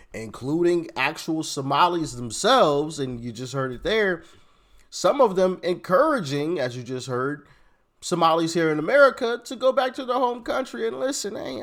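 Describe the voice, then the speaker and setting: Animated man hosting casual commentary. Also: on the high side. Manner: informal slangy asides to the audience. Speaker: commentary show host. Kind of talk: casual opinionated commentary on viral clips.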